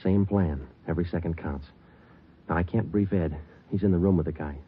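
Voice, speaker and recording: An American actor playing a terse, steady police detective in a 1940s radio crime drama, speaking in clipped, matter-of-fact phrases. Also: male voice, brisk, slightly rough. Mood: urgent, hushed. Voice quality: low-pitched. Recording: dull old 1940s radio recording, music behind.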